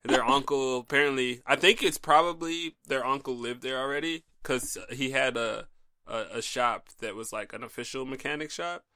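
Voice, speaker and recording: Chatty male interviewer, gravelly and bright, loose filler-heavy storytelling. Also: mid-range voice, normal speed, no echo, clean studio sound. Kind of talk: casual chat.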